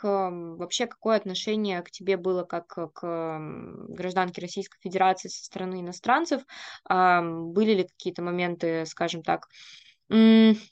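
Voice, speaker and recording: A woman's voice: mid-range voice, clean tone, dull audio. A woman hosting a podcast, sharing her own experience and then posing careful, hedging questions. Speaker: podcast host. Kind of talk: interview questions.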